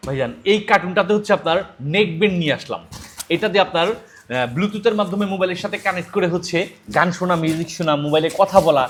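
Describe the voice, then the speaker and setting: Male shop presenter, Bangladeshi accent, high voice, quick delivery, emphatic repetitive sales pitch. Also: a bit bright, slight background noise. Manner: repetitive, emphatic selling patter. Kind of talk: spoken product demonstration and sales pitch.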